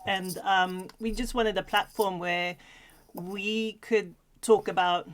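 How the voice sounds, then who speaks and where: A woman's voice: medium pitch, fairly even intonation, takes it slowly. A woman from Sweden co-hosting a livestream in a casual, chatty, giggly manner. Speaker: co-host of a livestream talk show.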